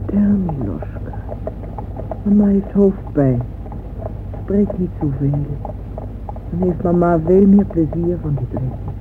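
An actress playing a lonely, yearning older aristocratic woman in a radio play, speaking slowly, wistfully and intimately. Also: on the low side, expressive, background noise. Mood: weary. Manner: slow, wistful, intimate confiding delivery. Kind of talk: old radio drama acting, monologue. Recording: dull old radio recording with music.

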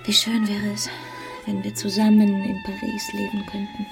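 A woman's voice: mid-range voice, huge pitch swings, moderate pace. An actress playing a passionate, evasive young married woman in a German radio play, coaxing and theatrical. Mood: dreamy, tender. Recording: music behind the voice.

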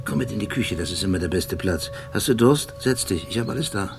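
An actor playing an obsessive, brooding police detective who narrates a radio play in a deliberate, precise, philosophical manner. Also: male voice, fairly low pitch, expressive, gravelly. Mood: low-key, insistent. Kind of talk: radio play acting and literary monologue. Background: music.

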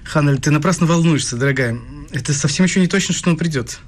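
A man's voice: fairly high pitch, fast, natural voice. An actor playing an affectionate, easygoing young male student in a radio play, talking in a teasing, coaxing way. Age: young adult.

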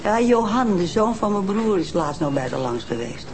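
An actress playing a bitter, self-pitying elderly woman who complains in a drawn-out way, in a Dutch TV detective drama. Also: mid-range voice, big pitch swings, noisy background, natural voice. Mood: aggrieved. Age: older.

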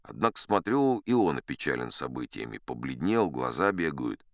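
A man's voice: extremely low pitch, very animated, normal speed, a bit bright, dry recording, studio quality, voice pushed down, full character voice. A man playing an older sea captain who spins a yarn in a dramatized audiobook, wry, boastful and conversational. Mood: wry, rueful. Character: old sea captain storyteller, folksy, boastful, dignified.

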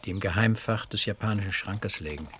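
Male actor as grave family doctor, lowish hushed voice, radio drama, noisy background. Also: fairly quick, close to an everyday voice. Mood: somber. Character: grave family doctor breaking bad news.

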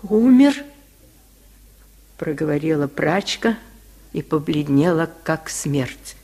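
Slow, higher-pitched male storyteller. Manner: measured, expressive. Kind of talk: dramatic literary narration for radio.

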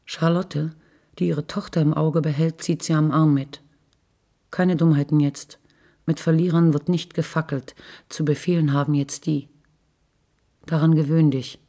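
A woman reading literary prose for radio in measured, terse phrases. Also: low-pitched, steady tone, mellow.

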